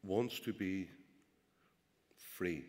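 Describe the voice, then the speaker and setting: A man with a Derry accent speaks at a rally in a fairly low voice. He builds to rousing rhetorical questions and often fills his pauses with "uh". The sound rings with the long reverb of a big event hall. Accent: Derry, Northern Irish. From Northern Ireland. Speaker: political speaker. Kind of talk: public speech at a rally.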